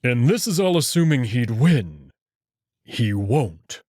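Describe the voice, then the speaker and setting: Give the part this: a man acting a proud beast prince from a sci-fi visual novel, protective and sure of himself. His pitch swings very widely while his phrasing stays measured and formal. The sound is close and dry. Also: big pitch swings, a little mellow, no echo. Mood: protective, serious. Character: Amicus, proud protective furry alien prince, an older brother.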